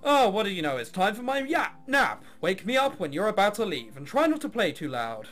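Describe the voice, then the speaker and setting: A man's voice: sits high, sing-song, fairly quick, a bit bright, soft background music. A man voicing a jaded young woman, a reluctant orchestra heiress in a visual novel, with sarcastic, bored delivery. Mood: bored, irritated.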